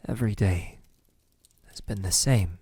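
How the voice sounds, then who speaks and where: A man playing a possessive, teasing vampire in an intimate ASMR roleplay, speaking softly and breathily with frequent drops into a whisper. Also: fairly low pitch, no room sound. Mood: weary, confiding. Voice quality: breathy, often dropping to a whisper. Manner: slow, menacingly tender, teasing. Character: possessive, teasing, lonely male vampire in his castle.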